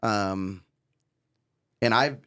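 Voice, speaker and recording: A man's voice: lowish voice, extremely slow, sharp tone, clean tone. A man co-hosting a casual panel discussion, speaking informally and candidly. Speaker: podcast co-host.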